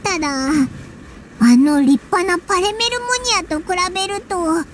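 Squeaky, cheeky little fairy sidekick. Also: female voice, normal speed, noisy background. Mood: hesitant. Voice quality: squeaky, childlike. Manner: brash, blunt, boyish casual speech. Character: small floating fairy companion, childlike, cheeky and excitable. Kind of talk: anime game voice acting. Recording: loud game music behind.

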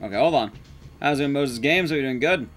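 A man's voice: medium pitch, lively intonation, brisk, a bit bright, a little hiss or hum. A young man giving casual, sweary, sarcastic live commentary as he speedruns a game. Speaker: speedrun streamer. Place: indoor room at home, streaming setup.

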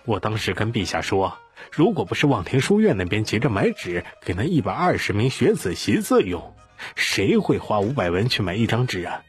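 Man as a pensive elder-brother prince, low voice. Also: big pitch swings, normal speed, husky, soft background music, exaggerated voice. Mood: musing.

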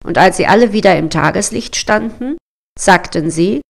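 A woman storyteller narrating a scripted fairy tale in a measured, expressive storybook manner. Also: medium pitch, creaky.

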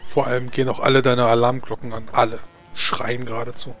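A man's voice: medium pitch, little pitch movement, fairly quick, a bit bright. A man narrating as game master of a tabletop roleplaying game, informal and improvising as he goes. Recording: background noise.

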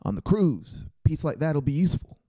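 A cool young cousin in an audiobook, acted by a man, who talks as if he knows it all. The delivery is casual and nonchalant, with a mellow tone. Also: fairly high pitch, very animated, fairly quick, dry recording, studio quality, pitched above the performer's own voice. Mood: matter-of-fact. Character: cool, knowing, hungry young male cousin. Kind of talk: audiobook character voice.

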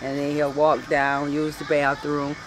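A woman's voice: low voice, monotone, measured pace, background noise. A woman on an outdoor porch telling a casual, chatty story, repeating herself as she goes.

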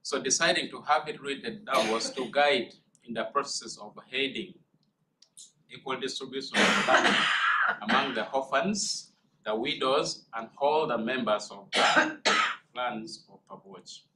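A young man from northern Uganda, presenting in a seminar room and pausing often with uh. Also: medium pitch, very noisy. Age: young adult. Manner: measured, with many uh pauses. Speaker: guest speaker, student.

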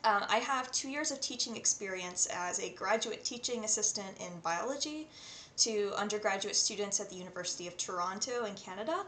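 A woman in her twenties with a North American accent speaks at a middling pitch as an online tutor. She is in a small, echoey room at home, and faint noise sits behind her voice. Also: pushed and bright. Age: 20s. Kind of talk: self-introduction to camera with poem readings. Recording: roomy echo, faint background noise.

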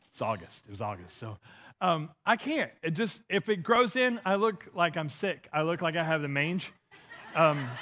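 A man's voice: high voice, bright, forceful tone. A middle-aged man preaching a sermon on a large church stage, telling self-deprecating stories and pacing as he gestures. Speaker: pastor.

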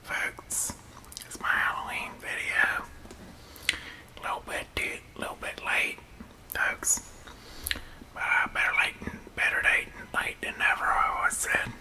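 Young man, breathy ASMR whisper, slow halting delivery, folksy, repeats himself, close mic. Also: pushed and bright. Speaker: ASMR video host. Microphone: close studio microphone with foam cover.

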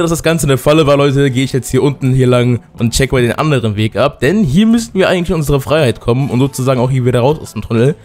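Hoarse, excitable German-speaking man gamer. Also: medium pitch. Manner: excitable, loud outbursts, keeps addressing viewers. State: hoarse from a cold. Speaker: gaming YouTuber. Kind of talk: excited let's-play commentary.

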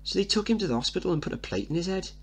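Man acting a cheeky little boy, voice pitched up. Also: lively intonation, very fast, breathy edge, exaggerated voice. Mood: cheeky, boastful. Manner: slangy, blunt, eager. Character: scruffy seven-year-old working-class boy, cheeky, boastful, suspicious.

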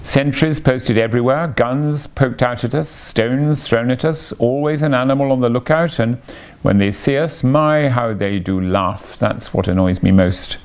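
A man's voice: medium pitch, a little slow, clear, resonant tone, lightly acted. A man voicing a grumpy, blunt, exclamatory mole in an animal storybook. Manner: grumbling, exclamatory.

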